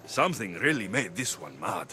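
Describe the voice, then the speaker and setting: A man's voice: fairly low pitch, very animated, moderate pace, sharp tone, soft background music. A man playing a brusque, combative ancient Greek mercenary in a video game, talking in a blunt, terse way. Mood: defiant. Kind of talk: scripted game dialogue.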